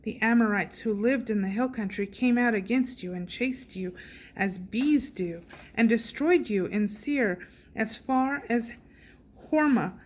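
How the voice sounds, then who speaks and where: A woman reading scripture aloud in a measured way, sometimes stumbling over hard names. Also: a little high. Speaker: Bible reader. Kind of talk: slow, careful scripture reading.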